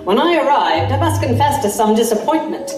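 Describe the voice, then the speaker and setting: A woman's voice: high voice, expressive, fairly quick. A woman playing a candid reporter who narrates an audio drama in the first person, in a wry, confiding way. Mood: wry, let down. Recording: roomy, distant, loud music behind.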